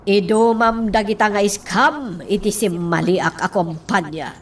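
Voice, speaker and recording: An actress playing a headstrong, defiant wife in a radio drama, speaking emphatically and deliberately. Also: female voice, mid-range voice, far from the mic, natural voice. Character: headstrong, defiant wife insisting on her own way.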